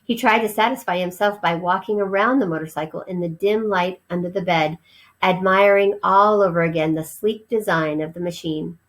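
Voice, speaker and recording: A woman's voice: on the low side, normal speed. A woman reading a children's storybook aloud as narrator, explaining things as if to young listeners.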